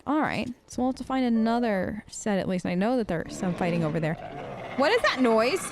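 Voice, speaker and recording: A woman giving casual, chatty gameplay commentary, often talking to herself and to the characters as she plays. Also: fairly high pitch. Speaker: let's play host.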